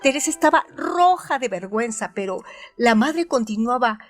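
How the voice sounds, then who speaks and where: A woman reading a novel aloud as narrator, in a measured, expressive style. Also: a little high, soft background music. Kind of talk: scripted audiobook narration.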